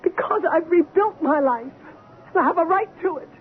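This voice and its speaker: A woman's voice: very high-pitched, expressive, moderate pace. An actress playing an anguished but firm woman doctor confronting her returned husband, earnest and emotional, in an old-time radio drama. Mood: defiant. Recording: narrow, noisy old radio recording.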